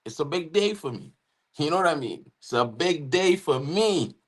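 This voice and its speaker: A man's voice: on the high side, lively intonation, moderate pace. Chatty Trinidadian man streaming live. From Trinidad and Tobago. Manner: chatty, shouting out chat names, frequent "you know what I mean". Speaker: watchalong co-host. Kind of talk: casual live-stream chat.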